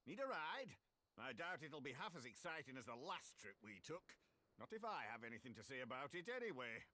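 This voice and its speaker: A man's voice: medium pitch, very animated, fairly quick, pushed and bright, dry recording. A man playing a chatty, jovial rustic wagon driver in a fantasy video game, with cheery colloquial speech. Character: chatty, folksy male wagon driver, jovial rogue helper. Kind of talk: scripted game voice acting.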